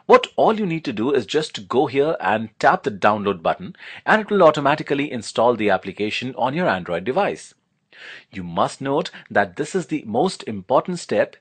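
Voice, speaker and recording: A man's voice: mid-range voice, husky. A man narrating a scripted product demonstration, explaining things step by step in an instructional manner.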